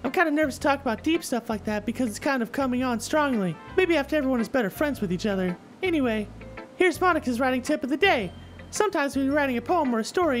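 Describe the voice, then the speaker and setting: Visual novel club leader, played by a woman: teenage girl, high-pitched, upbeat, encouraging, light music underneath. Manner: cheery, chatty, gently coaching. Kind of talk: visual novel character dialogue read aloud. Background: soft background music.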